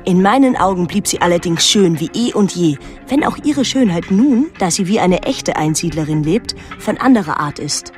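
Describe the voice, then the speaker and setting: An actress playing a reflective daughter who narrates a literary radio drama in a measured, introspective way. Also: female voice, lowish voice, expressive, a little mellow, soft background music, close to an everyday voice. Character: reflective daughter recalling her reclusive painter mother.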